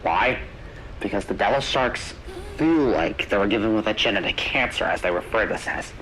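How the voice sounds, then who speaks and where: Low voiced guy rambling thru his theories like a found horror tape, kinda forceful, bit of noise behind him. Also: lively intonation, poor audio. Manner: halting, rambling, earnest explaining with repeats. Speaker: narrator of an analog horror video.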